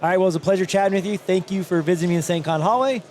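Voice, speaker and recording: A man hosting a hallway interview at a conference, joking, laughing and asking quick follow-up questions. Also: sits high, a little mellow. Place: outdoors at a conference venue.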